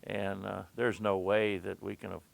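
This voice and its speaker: A man's voice: fairly low pitch, little pitch movement, unhurried, gravelly. A man talking in a conversational interview as a local resident, plain-spoken and folksy.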